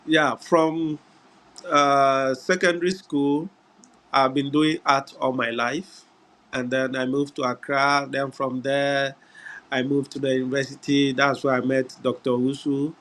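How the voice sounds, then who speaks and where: A middle-aged man from Ghana with a Ghanaian English accent, giving an unhurried webinar talk over a video call, often pausing on "uh" and "yeah". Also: medium pitch, little pitch movement, fairly bright tone. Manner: unhurried, repeats words, frequent "uh" and "yeah". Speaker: guest speaker. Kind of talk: webinar presentation.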